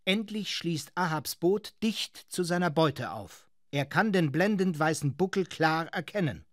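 A man's voice: fairly high pitch, expressive, a little slow, no room sound, studio quality. A man reading scripted present-tense narration in a radio drama, as its narrator.

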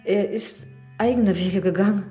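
A woman's voice: mid-range voice, moderate pace, warm tone, lightly acted. Radio drama housekeeper female actor: guarded widow in service, respectful yet evasive, music underneath. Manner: deferential, evasive, hesitant. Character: guarded, deferential widowed housekeeper of a village parson. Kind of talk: German radio drama acting.

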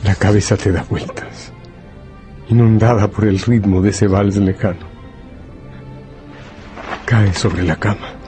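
Radio drama narrator: low-voiced, brooding, ominous literary storyteller, deliberate, faint music underneath. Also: male voice, fairly even intonation, warm tone. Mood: solemn, ominous. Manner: solemn, deliberate.

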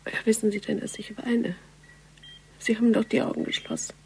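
An actress playing a weary, grieving woman police investigator, brooding in a hushed, intimate German radio crime drama. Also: medium pitch, steady tone, brisk, a little hiss or hum, natural voice. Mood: tearful concern. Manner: hushed, breathy, half-whispered.

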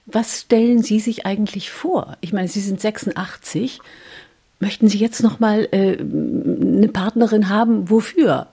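A woman's voice: mid-range voice, fairly even intonation, measured pace, dark tone, husky. An actress playing a blunt, demanding older woman pensioner in a conversational radio drama. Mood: probing, challenging. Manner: blunt, direct.

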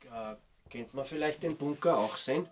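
A man playing a hesitant, sceptical amateur investigator who speaks haltingly in a radio play. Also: medium pitch, slight background noise, lightly acted. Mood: cautious, sceptical.

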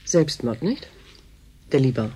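A husky, detached official doctor. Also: female voice, rumbling low, sing-song, a little slow, warm tone, heavily acted. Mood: casually probing. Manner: matter-of-fact, probing. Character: detached, bureaucratic female doctor in charge. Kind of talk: German radio drama acting.

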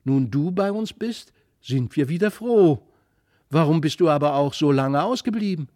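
A man's voice: a little high, lively intonation, normal speed, a little mellow, dry recording, studio quality, close to an everyday voice. A man voicing a wistful, affectionate little girl in a storybook reading. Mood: happy, affectionate.

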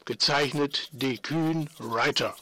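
An actor playing an officious spy clerk who reads out a precise, telegraphic field report in a radio play. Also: male voice, medium pitch, slow, noisy background, close to an everyday voice. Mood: brisk, factual. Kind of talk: German radio play, protocol reading.

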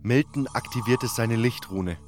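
A man's voice: medium pitch, a little slow, darkish tone, faint music behind. A man narrating a scripted fantasy adventure in the first person, as a dramatic storyteller.

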